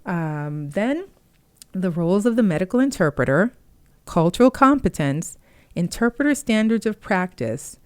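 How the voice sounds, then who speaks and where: Woman trainer, native Haitian Creole speaker, deep voice, patient explainer, dry recording. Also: sing-song, moderate pace, a little mellow, no room sound. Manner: explanatory, uses fillers, clear step-by-step. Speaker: medical interpreter trainer. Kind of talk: informal instructional talk.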